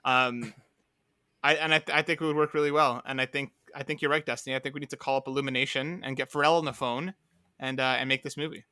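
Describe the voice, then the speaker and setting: A man co-hosting a podcast in casual banter, telling things like an animated storyteller and often checking in with "right?". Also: medium pitch, fast talker, clean tone, dry recording, studio quality. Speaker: podcast co-host.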